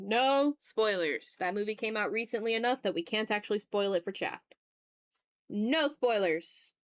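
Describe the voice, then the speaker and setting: A woman's voice: mid-range voice, no room sound. A woman hosting a casual art livestream, chatting in a playful, slangy, exclamatory way while she draws, close to the mic.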